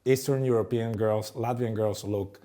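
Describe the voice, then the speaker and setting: A man from Latin America with a Latin American Spanish accent, a podcast host talking casually in halting English full of restarts. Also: on the low side, fairly even intonation, mellow. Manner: affirming, halting with repeated restarts. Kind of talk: casual conversation.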